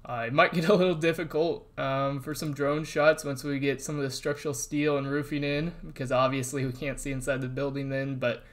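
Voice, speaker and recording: A man narrating a casual voice-over update on a construction project, explaining relaxed and plainly with frequent ums and uhs. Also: medium pitch, brisk, few pauses. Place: voice-over, not recorded on site.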